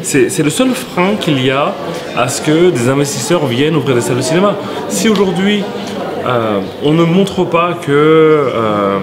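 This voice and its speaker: A man from France, a company head as interview guest, answering in a halting way with many pauses and restarts. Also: on the high side, lively intonation, a crowd in the background. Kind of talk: hesitant conversational interview answers.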